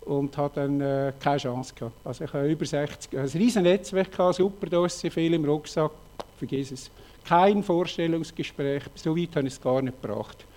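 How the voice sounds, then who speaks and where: A man in his late fifties with a Swiss German accent, frankly telling his own story in a talk, halting often with fillers. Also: fairly high pitch, steady tone, a little mellow. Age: 50s. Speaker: speaker giving a personal testimony. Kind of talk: personal testimony talk with slides.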